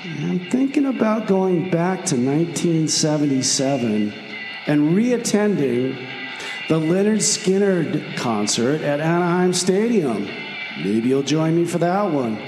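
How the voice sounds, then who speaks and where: A man's voice: a little high, very animated, measured pace. A man playing a cocky, chatty, upbeat time traveler in a comedy radio play. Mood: excited, breezy. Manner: upbeat, self-assured, chatty. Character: breezy, cocky male time traveler and would-be musician. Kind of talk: comedy radio theater monologue. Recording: echoey, long reverb. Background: music.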